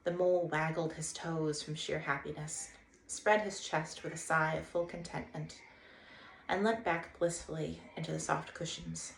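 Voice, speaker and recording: A woman narrates a children's story in a roomy, echoing space with faint noise. Her voice sits slightly low and has plenty of creak. She reads expressively, as the host of a read-aloud. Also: level tone, moderate pace.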